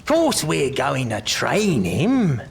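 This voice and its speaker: A wily old English leader of thieves in an audio drama. His voice is gravelly and he draws his words out slowly. He wheedles theatrically, swooping up and down as he coaxes. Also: a little high, wildly swooping pitch, slight background noise, exaggerated voice. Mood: sly, coaxing. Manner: theatrical, ingratiating, drawn-out delivery.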